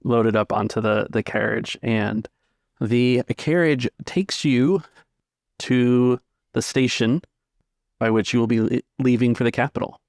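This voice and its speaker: A man's voice: mid-range voice, steady tone, unhurried, darkish tone, dry recording, studio quality. A man narrating a tabletop roleplaying game as its game master.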